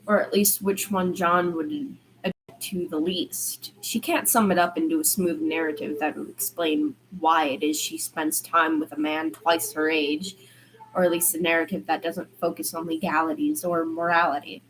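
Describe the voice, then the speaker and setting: Lower-voiced woman narrating fiction. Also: level tone, moderate pace, a little hiss or hum, low-quality recording. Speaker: amateur audiobook narrator. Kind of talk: reading fiction aloud.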